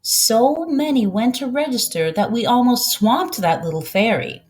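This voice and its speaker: A woman voicing a kindly, proud old Southern farm woman who tells her story in a folksy, unhurried way for a storybook. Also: a little high, a little mellow, voice pushed up, natural voice, poor audio. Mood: proud, earnest.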